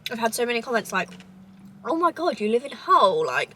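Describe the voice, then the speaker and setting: A woman's voice: a little high, very animated, fairly quick, sharp tone. Young woman, southern English accent, rambling casual chat, faint background hiss. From Cambridgeshire, England. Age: 20s. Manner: chatty, rambling, lots of "like" and "obviously". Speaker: vlogger. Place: at home. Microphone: camera in a holder.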